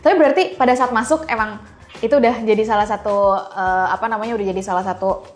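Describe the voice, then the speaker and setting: A woman's voice: mid-range voice, steady tone, fast talker, faint music behind. A young woman hosting a casual, chatty interview, informal and dropping in English phrases. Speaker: podcast host, interviewer.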